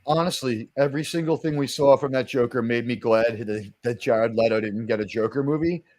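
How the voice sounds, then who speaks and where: A man with a very loud voice giving emphatic opinions in a casual stream chat. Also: medium pitch, brisk, no room sound.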